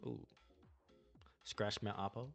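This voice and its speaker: A man's voice: on the low side, fairly even intonation, slow pace, gravelly, soft background music. A young man streamer chatting casually to his live chat in slangy, laid-back talk while opening trading cards. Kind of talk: casual livestream card-opening commentary.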